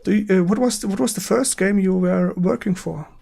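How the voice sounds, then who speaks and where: Male stream interviewer, German accent, creaky voice, halting and conversational delivery. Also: high voice, dark tone, soft background music. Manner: halting, searching for words in English. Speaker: stream host interviewing a guest. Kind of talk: casual live-stream interview.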